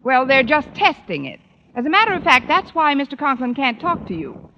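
A droll, quick-witted schoolteacher of middle age in a vintage radio comedy. Her voice is high with widely moving intonation and dry timing, on a muffled, noisy old recording. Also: big pitch swings. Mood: flustered, improvising. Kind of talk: 1940s-50s radio comedy acting.

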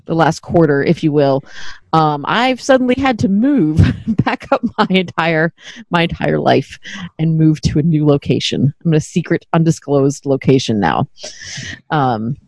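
A woman's voice: sits low, lively intonation, normal speed, darkish tone, no echo, studio quality. A middle-aged woman hosting a podcast in casual chat, candid and self-deprecating, swearing freely. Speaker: podcast host.

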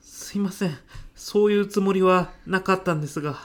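A man's voice: high voice, a little fast, close to an everyday voice. A man playing a meek, very polite male office worker in a comedy radio drama, hesitant and deferential to the boss. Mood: apologetic.

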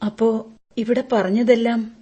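A woman's voice: fairly high pitch, level tone, measured pace, warm tone, breathy edge, highs cut off. An actress in a Malayalam radio drama playing a worried, disapproving mother-in-law who speaks earnestly and reproachfully in protection of her son. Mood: pained, worried. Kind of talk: Malayalam radio play acting.

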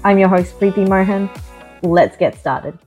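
A woman host reading a scripted show introduction in an upbeat, measured way. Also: medium pitch, darkish tone. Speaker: podcast host. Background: music.

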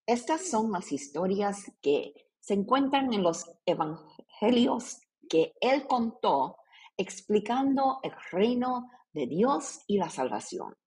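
Female podcast host, Puerto Rican accent. Earnest devotional read, unhurried delivery, lively rise and fall in pitch. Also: husky. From Puerto Rico. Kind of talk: scripted devotional narration and scripture reading.

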